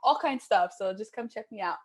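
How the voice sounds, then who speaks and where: A woman's voice: medium pitch, fast, husky. A woman from Hawaii, a guest on a casual chat who talks in a bubbly, chatty way. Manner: bubbly, chatty, laughs easily. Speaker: guest, singer and farmer. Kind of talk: casual chat between friends.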